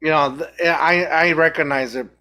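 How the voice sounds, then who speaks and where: A man's voice: on the high side, fairly even intonation, normal speed, pushed and bright. A young man from Mexico with a Mexican accent, hosting a podcast in a casual, reflective chat and often restarting his sentences. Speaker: podcast host.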